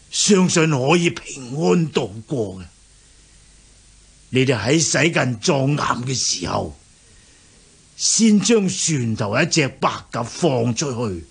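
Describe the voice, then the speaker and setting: An actor playing a pitiful, starving old male seer in a radio drama, pleading and solemn in a gravelly, husky voice. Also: medium pitch, full character voice.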